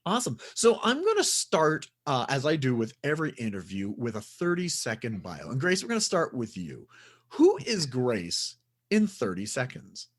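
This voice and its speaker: A man's voice: medium pitch, huge pitch swings, normal speed, breathy edge. A man hosting a casual radio interview, upbeat and chatty, laughing often. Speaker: podcast host.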